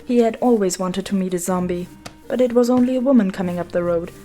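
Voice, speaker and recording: Mellow woman narrating a storybook. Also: mid-range voice, quick pace. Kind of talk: scripted children's storybook narration. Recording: music behind the voice.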